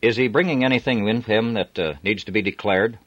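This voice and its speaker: A man's voice: lowish voice, fairly quick, fairly bright tone, highs cut off, pitched above the performer's own voice. A man voicing an officious male customs officer questioning travellers.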